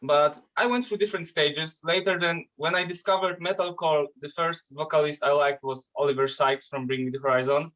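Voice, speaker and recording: A man's voice: on the high side, steady tone, fairly quick, few pauses, fairly bright tone, lo-fi sound. A man from Poland with a Polish accent, a metal band vocalist chatting loosely in an interview, swearing and restarting his sentences. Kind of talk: casual interview chat.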